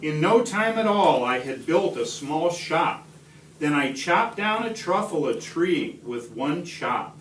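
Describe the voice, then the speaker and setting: A man reading a rhyming picture book aloud to children, expressively, with deliberate, dramatic pauses. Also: on the high side. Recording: roomy, distant home video with slight noise.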